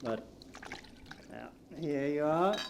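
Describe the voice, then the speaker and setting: An actor playing a loyal, earnest, polite assistant in a 1940s radio drama, slightly hesitant. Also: male voice, on the high side, animated, slow, creaky, heavily acted. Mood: dutiful. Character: loyal, mild, conscientious assistant to a millionaire. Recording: faintly noisy vintage radio recording.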